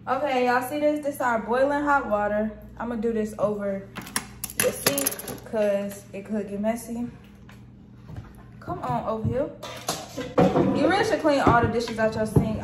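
High-voiced young American woman, echoey kitchen. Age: young adult. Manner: informal, chatty, folksy slang. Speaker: home cooking vlogger. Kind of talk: casual cooking demonstration to viewers. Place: home kitchen, indoors. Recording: echoey kitchen with noticeable background noise.

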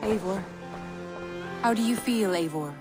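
An actress playing a composed, caring Viking adviser in a video game, speaking in a gentle, earnest, measured way. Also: female voice, medium pitch, close to an everyday voice. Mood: concerned. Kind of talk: video game dialogue acting. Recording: loud music under the voice.

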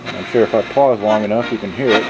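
A man's voice: fairly low pitch, fast talker. A middle-aged man giving a casual hands-on product demonstration, rambling and thinking aloud in an offhand way. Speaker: gadget reviewer. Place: indoors at a table, handling devices. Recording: noisy room with steady background hum.

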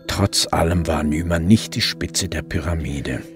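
An actor playing a sober, weary police detective, narrating a radio crime drama in a measured, reflective way. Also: male voice, a bass voice, music in the background.

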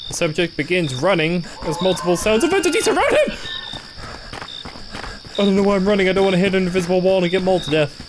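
A horror game streamer with a mid-range, swooping voice gives a playthrough commentary. The delivery is sarcastic and easily startled, over clearly audible game ambience of crickets and insects. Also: medium pitch, big pitch swings, fast talker, pauses often, noisy background. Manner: jumpy, sarcastic, gasps and cries out when startled. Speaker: gaming YouTuber. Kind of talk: horror game playthrough commentary, reading on-screen text aloud.

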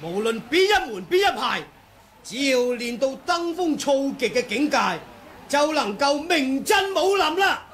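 Sneering martial artist, Hong Kong Cantonese accent, very high voice, faint hiss, old radio play. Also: male voice, big pitch swings, unhurried, a bit bright, full character voice. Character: scornful male martial artist siding with the leader. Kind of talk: 1980s radio drama acting.

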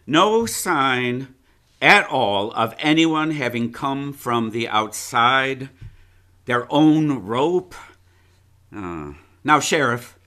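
An actor playing a condescending county attorney in a live audio drama, with a patronizing drawl. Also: male voice, mid-range voice, sharp tone, heavily acted. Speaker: Attorney Henderson.

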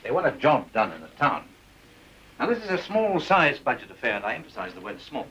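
An actor playing a bossy, exasperated public relations boss in a film comedy, brisk and scolding. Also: male voice, fairly high pitch, wildly swooping pitch, bright, forceful tone, highs cut off, a little hiss or hum, heavily acted. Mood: firm, instructing.

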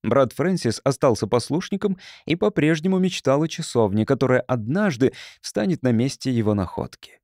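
A man's voice: mid-range voice, animated, normal speed, a little mellow, no room sound, studio quality. A man reading literary fiction as a scripted audiobook narration.

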